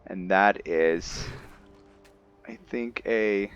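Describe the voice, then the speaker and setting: A man's voice: on the low side, extremely slow, highs cut off, slight background noise. A man giving casual gameplay commentary, thinking aloud hesitantly and chatting to his viewers. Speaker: host of a gameplay roleplay series. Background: background music.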